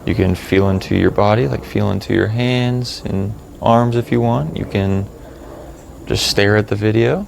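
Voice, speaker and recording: Male meditation guide, slow and calm, heavy vocal fry, plain-spoken and unhurried. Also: fairly low pitch, slight background noise. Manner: calm, hushed, unhurried with long pauses.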